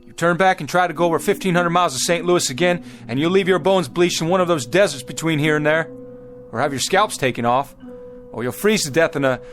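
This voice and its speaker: A man playing a determined, stubborn pioneer father leading a wagon train in a radio drama, with rustic, emphatic and sometimes halting speech. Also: on the high side, a bit bright, natural voice. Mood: urgent pleading. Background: music.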